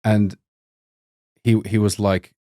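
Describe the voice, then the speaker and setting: A young man tells a long, casual story in a low, deep voice. He rambles, restarts and fills gaps with "like" and "um". The sound is close and dry. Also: level tone, slow, dark tone, no echo. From Australia. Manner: rambling, many restarts and fillers. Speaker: podcast guest, doctor.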